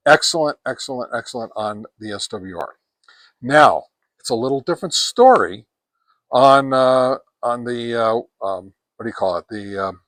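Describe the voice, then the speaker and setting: Male reviewer, mid-pitched, casual and folksy, emphatic repetition, dry outdoor recording without echo. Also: a bit bright. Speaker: product reviewer and host. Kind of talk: casual hands-on radio gear review. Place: outdoors on a deck.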